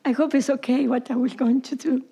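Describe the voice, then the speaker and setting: A woman pastor from Argentina with an Argentine accent, whose first language is Italian, preaching a sermon in halting, repetitive English that sometimes drops to a whisper. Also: high-pitched, steady tone, mellow, breathy edge. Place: church service.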